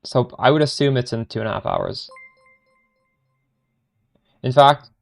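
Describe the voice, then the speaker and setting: A man's voice: medium pitch, measured pace, gravelly. A Canadian man with a Canadian accent, streaming a game live and chatting casually with viewers, often trailing off mid-sentence. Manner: chatty, answers chat questions while playing, trails off mid-sentence.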